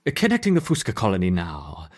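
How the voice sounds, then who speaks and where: Game lab assistant: male, husky, extremely varied pitch, dutiful and procedural. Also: huge pitch swings, a little fast, exaggerated voice. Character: obedient laboratory assistant carrying out experiments. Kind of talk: scripted game dialogue.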